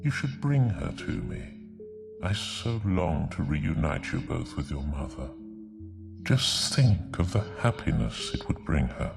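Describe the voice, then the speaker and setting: Slow, gravelly, sinister game villain. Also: male voice, low-pitched, big pitch swings, full character voice. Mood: false sweetness. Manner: slow, deliberate, mocking. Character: sinister male villain, smooth, taunting menace. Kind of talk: video game cutscene acting. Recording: loud music under the voice.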